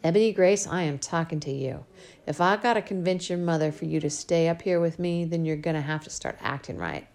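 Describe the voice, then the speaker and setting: A woman's voice: fairly low pitch, a little fast, darkish tone, close to an everyday voice. A woman reading a loving but stern middle-aged father in an audiobook, scolding then tender, with folksy slang. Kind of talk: audiobook character voice.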